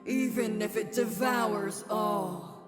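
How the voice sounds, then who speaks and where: In a huge echoing space with music swelling behind, a proud goddess of love speaks , played by a woman. She is bitter and scornful, drawing out every phrase very slowly and grandly. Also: medium pitch, lightly acted. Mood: scornful, defiant. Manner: grand, theatrical, slow-spoken. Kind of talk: visual novel voice acting. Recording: cavernous echoing hall reverb under loud music.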